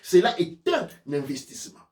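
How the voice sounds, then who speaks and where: A man's voice: high-pitched, wildly swooping pitch, normal speed, a little mellow, roomy sound, distant mic. A man giving an impassioned sermon-like lecture, emphatic and repetitive, driven by rhetorical questions. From sub-Saharan Africa. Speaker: spiritual teacher and preacher.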